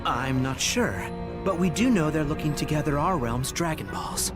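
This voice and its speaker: A man's voice: fairly high pitch, big pitch swings, moderate pace, music in the background. A man playing a subservient demon henchman in a game, reporting formally and a little hesitantly to his master. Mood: dutiful, uneasy.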